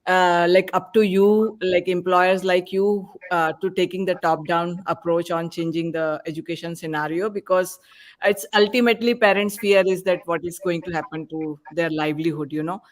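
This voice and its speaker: A woman's voice: fairly low pitch, fairly even intonation, moderate pace. A woman speaking as a panelist in a podcast discussion, with hesitant, meandering phrasing.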